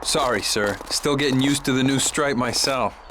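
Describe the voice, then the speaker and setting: An actor playing an American army sergeant, a questioning but deferential subordinate in a war video game. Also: male voice, medium pitch, moderate pace, background noise, natural voice. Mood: respectful, curious. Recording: music behind.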